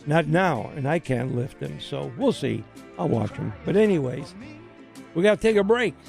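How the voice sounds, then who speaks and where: An older man hosting a radio show in casual chat, his voice slightly raspy, rambling with many restarts. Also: a little high, lively intonation, moderate pace, darkish tone, faint music behind. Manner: rambling, restarts often, laughs easily.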